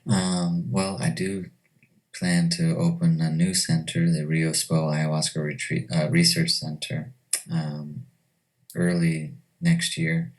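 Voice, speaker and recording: Male interviewee, extremely deep and level voice, thoughtful, hesitant delivery. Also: little pitch movement. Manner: measured, thoughtful, hesitant with pauses. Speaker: retreat director being interviewed. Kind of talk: reflective interview answers. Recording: slightly distant microphone.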